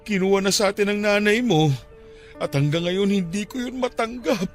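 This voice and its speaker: A man playing a middle-aged widowed father in a radio drama, tender and heartbroken. His voice sits fairly high for a man and halts with emotion. Music plays underneath. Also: normal speed, lightly acted. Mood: grief-stricken.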